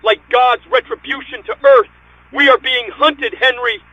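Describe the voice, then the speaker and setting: A man's voice: very high-pitched, very animated, unhurried, highs cut off, heavily acted. An actor playing a panicked, combative young man, agitated and argumentative in dramatic dialogue. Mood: alarmed, fearful.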